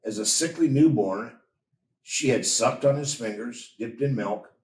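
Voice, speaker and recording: A man reading a story aloud in a measured, expressive storytelling manner. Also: medium pitch. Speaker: story reader. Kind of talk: scripted storybook narration. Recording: roomy echo, distant from mic.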